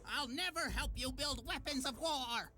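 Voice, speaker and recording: A man's voice: very high voice, normal speed, bright, forceful tone, exaggerated voice. A man voicing a cranky, defiant old professor in a video game, agitated and blustering. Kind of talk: exaggerated video game voice acting.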